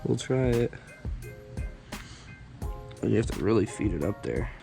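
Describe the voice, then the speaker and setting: A man with a hoarse, raspy voice from just waking up, casually talking through a car install for his vlog on a handheld camera, rambling with plenty of fillers. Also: lowish voice, a little slow, faint music behind. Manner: relaxed, rambling, many fillers. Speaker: car vlogger.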